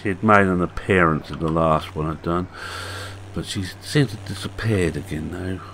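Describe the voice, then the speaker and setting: Croaky low-voiced older man. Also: a bit bright, slight background noise. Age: 60s. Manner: unhurried, rambling, halting with fillers. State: hoarse, croaky voice. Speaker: farming game video maker. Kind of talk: casual gameplay commentary.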